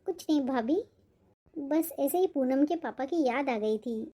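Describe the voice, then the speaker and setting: A woman's voice: sits high, animated, measured pace, dark tone. A woman voicing a humble, worried middle-aged widowed mother in a Hindi cartoon moral story. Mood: wistful.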